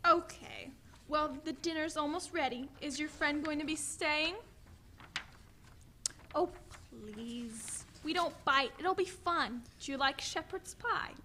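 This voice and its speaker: Woman acting a high, bright, chirpy mom. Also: takes it slowly, slight background noise, heavily acted. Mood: cheerfully inviting. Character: cheery, watchful mother of a teenage girl. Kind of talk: dramatic reading of a play.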